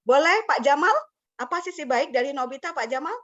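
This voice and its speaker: Woman presenter, bubbly workshop leader, slightly high voice, lo-fi video-call sound. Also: a little fast, fairly bright tone. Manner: chatty, mixes English words, laughs often. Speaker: webinar presenter for teachers. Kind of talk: lively online teacher-training talk. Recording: slightly dull lo-fi call audio.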